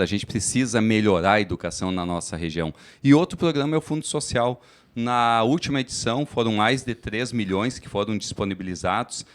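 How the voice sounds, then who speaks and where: A man, a credit cooperative executive giving steady, formal explanations in a studio interview at a fair. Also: fairly low pitch.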